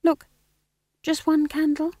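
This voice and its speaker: Woman acting a young witch, high lilting voice, earnest, timid, defensive, stubborn, audiobook character. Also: very animated, moderate pace, mellow, no room sound, clean studio sound. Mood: pleading. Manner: quavering, pleading, defensive.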